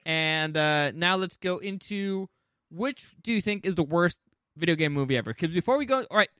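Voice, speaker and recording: A man's voice: high voice, animated, normal speed, sharp tone, smooth, no echo, studio quality. A man hosting a casual movie chat, opinionated and emphatic, swearing freely. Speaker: podcast host.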